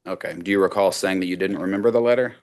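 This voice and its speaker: Brief: very low-voiced male lawyer, methodical cross-examination on a video call. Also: darkish tone. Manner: measured, methodical questioning. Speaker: attorney.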